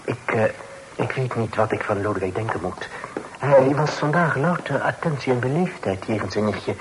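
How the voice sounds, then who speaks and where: An actor playing a courteous, eager young gentleman in a period radio drama, with florid, formal politeness. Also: mid-range voice, animated, moderate pace, lightly acted. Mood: puzzled. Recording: faintly noisy old radio play recording.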